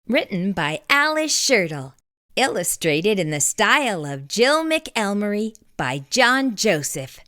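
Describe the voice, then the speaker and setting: A woman reads a bedtime storybook aloud for young children. Her voice is bright and swoops widely, and she takes her time with each phrase in a deliberate, animated way. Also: medium pitch, dry recording, studio quality. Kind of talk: scripted storybook narration, read aloud.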